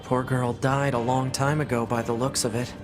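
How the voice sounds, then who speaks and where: Guy playing young android boy in a game, skeptical, expressive, casual talk, music behind him. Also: medium pitch, normal speed, natural voice. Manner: informal, expressive. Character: boyish young male android scout, skeptical and excitable. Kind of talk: video game voice acting.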